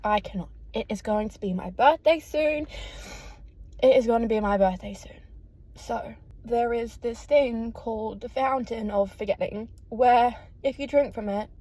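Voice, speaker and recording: A woman's voice: a little high, mellow. A woman of about twenty talking casually and confessionally as a vlogger, with a dramatic, rambling, self-deprecating manner. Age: about 19, nearly 20. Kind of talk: casual confessional chat to camera.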